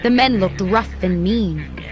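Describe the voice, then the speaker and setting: A woman's voice: medium pitch, lively intonation, slow pace. A woman narrating a scripted children's story as the storyteller. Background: clear background music.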